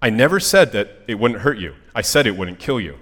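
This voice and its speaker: A man voicing a stern burning angel, speaking in terse, measured, absolute statements. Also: fairly low pitch, very animated, fairly bright tone, in a large hall. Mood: solemn, firm. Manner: terse, solemn, measured, insistent.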